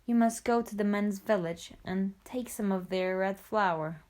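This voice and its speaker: A calm, wise male panther from a storybook, voiced by a woman reading aloud. He talks low and steady, handing out patient advice in an unhurried way. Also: natural voice. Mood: advising.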